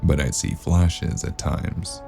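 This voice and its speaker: A man's voice: rumbling low, steady tone, creaky, music in the background, exaggerated voice. A man voicing a weary, brooding male noir detective narrating in first person. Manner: slow, brooding, hushed.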